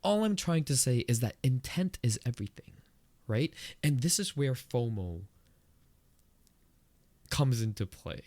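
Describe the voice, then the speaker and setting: A man's voice: sing-song, measured pace, long pauses, warm tone, no room sound. Earnest Filipino-accented man, dry sound. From Philippines. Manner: earnest and conversational, with emphatic pitch jumps. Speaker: podcast host. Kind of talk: solo reflective podcast talk.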